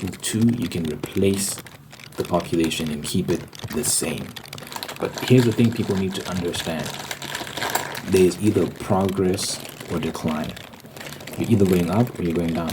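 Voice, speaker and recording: Low-pitched ASMR rambler with noisy background. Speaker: host. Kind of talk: casual opinion ramble, ASMR channel.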